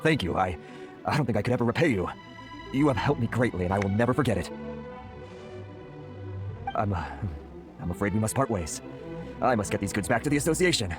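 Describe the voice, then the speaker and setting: An actor playing a polite, earnest older merchant in a video game, timid and easily flustered. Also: male voice, lowish voice, animated, fairly quick, pauses often, lightly acted. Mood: grateful. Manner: stammering, polite. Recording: music behind the voice.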